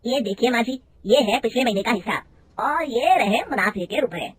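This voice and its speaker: A woman's voice: on the high side, expressive, normal speed, slight background noise, lightly acted. A woman voicing a polite, ingratiating male manager who is secretly a cheat, in a cartoon story. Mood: smooth. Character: smooth-talking male company manager, a crook.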